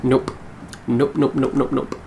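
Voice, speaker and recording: A man bantering playfully and casually as co-commentator on a game, with a drawn-out delivery. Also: mid-range voice, level tone, gravelly, mellow. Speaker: let's play co-commentator. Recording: faintly noisy home recording.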